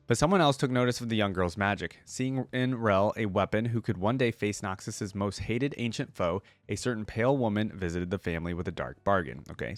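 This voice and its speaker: A man's voice: fairly low pitch, no room sound. A man with an informal, conversational manner, hosting a reaction video with casual commentary and lore read aloud. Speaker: YouTube reaction host.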